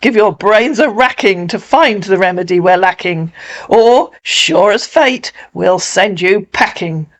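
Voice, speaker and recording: A woman's voice: mid-range voice, unhurried, close to an everyday voice. A woman with an English accent voicing angry townspeople in a storybook poem, scolding and indignant. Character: angry townspeople berating their useless rulers.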